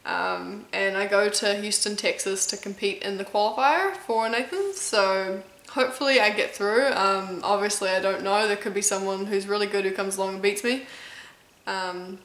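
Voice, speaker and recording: Brief: female vlogger with a blend of New Zealand and American accent. Mid-pitched, chatty, lots of "um"s. Recorded in a room with clear echo. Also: moderate pace, fairly bright tone. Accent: mix of New Zealand and American. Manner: chatty, stops often with "um" and stumbles over names. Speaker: competitive eater and YouTuber. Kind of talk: casual Q&A vlog.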